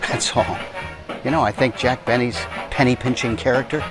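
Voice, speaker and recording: A man's voice: medium pitch, steady tone, normal speed. A man hosting with a scripted narrated introduction. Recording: loud music underneath.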